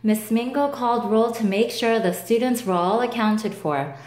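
A woman reading a children's picture book aloud, slow and expressive, giving the animals their own voices. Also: reverberant. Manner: slow, expressive, voicing each animal with lifts in pitch. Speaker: storybook reader. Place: table with picture book, indoors.

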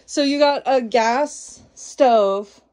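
Woman, bright high voice, eager visitor, lots of oohs and wows. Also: drawn-out words. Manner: gushing, exclamatory. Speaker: visitor on the tour. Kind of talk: enthusiastic casual chat.

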